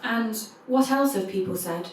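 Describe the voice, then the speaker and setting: A woman's voice: on the high side, moderate pace, slight background noise, natural voice. A woman playing a curious investigator, putting brief, probing questions to a witness in an audio drama interview. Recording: very echoey room.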